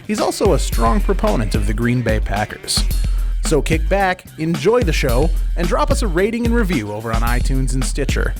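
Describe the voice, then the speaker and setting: A man's voice: fairly high pitch, sing-song, normal speed. A man reading a scripted podcast introduction in an upbeat, emphatic announcer manner. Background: music.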